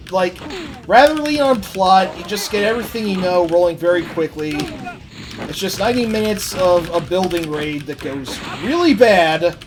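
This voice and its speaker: A streamer talking loosely and offhand during a game session, with a middling pitch. The speech wanders and drops curses freely. Game music plays clearly underneath. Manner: rambling, offhand, swears freely. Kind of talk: casual chat while playing a game.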